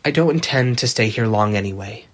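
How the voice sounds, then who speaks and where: Woman reader doing a husky sulky young guy, proud and hot-headed, talking back. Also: rumbling low, lively intonation, brisk, voice pushed down. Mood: indignant. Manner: sulky, defiant. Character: indignant proud young man, hot-tempered.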